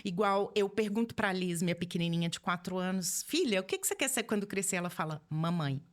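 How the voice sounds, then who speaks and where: A woman in her forties with a Brazilian accent from Minas Gerais, chatting casually as a podcast guest and telling stories in an animated way. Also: on the low side, fast. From Belo Horizonte, Minas Gerais, Brazil. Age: 40s. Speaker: podcast guest, holistic therapist. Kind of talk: casual conversational interview.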